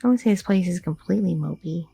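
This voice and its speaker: A woman playing a gravelly, husky girlfriend. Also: fairly low pitch, fast, dark tone, dry recording, faint music behind, exaggerated voice. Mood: curious. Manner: rambling, inquisitive, filler-heavy talk. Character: curious, chatty young adult woman, an anxious girlfriend. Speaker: ASMR roleplay performer. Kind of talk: ASMR audio roleplay.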